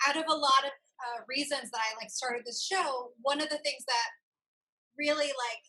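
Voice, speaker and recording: A woman with a high, bright voice that has a husky edge hosts a casual chat. She is informal and effusive, full of affirmation for the person she is interviewing. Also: fairly even intonation, moderate pace. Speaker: show host, interviewer.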